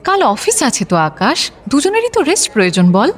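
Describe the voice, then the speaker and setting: An actress playing a caring young wife in a radio romance, chiding gently in a soothing, conversational manner. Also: female voice, sits high, huge pitch swings, fast, a faint crowd. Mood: teasing. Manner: teasing yet soothing, conversational. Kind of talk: Bengali radio romance acting. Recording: music bed under the voice.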